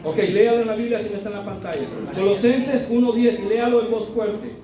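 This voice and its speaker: Preacher man, high voice, echoey hall. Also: a little fast, a crowd in the background. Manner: conversational, often adds tag questions; builds to loud emphatic exhortation. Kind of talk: sermon. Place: church hall. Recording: echoey hall from far off, faint ambient noise.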